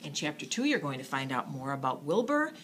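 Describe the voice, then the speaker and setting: Lady reading kids bedtime story, super expressive, bright voice, bit of background noise. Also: deep voice, a little fast. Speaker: children's storybook reader.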